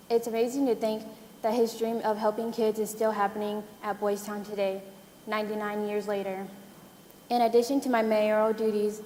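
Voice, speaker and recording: A woman's voice: mid-range voice, a little mellow, slight background noise. A teenage American girl, a student mayor on a conference panel, giving an earnest prepared speech. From United States. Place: conference hall. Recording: echoey conference hall.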